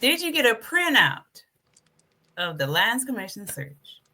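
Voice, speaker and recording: A woman's voice: on the high side, big pitch swings, a little slow, pushed and bright, a little hiss or hum. A woman hosting a conversational interview, asking probing questions and affirming briefly as she goes. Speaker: interview host.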